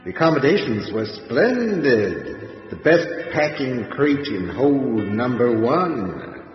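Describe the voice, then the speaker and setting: An actor playing a gleefully macabre undead horror host for a radio series, with theatrical, mock-genteel delivery. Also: male voice, medium pitch, sing-song, takes it slowly. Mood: sly, ghoulish. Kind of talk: old-time radio horror hosting. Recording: dull sound, long echoing reverb. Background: music.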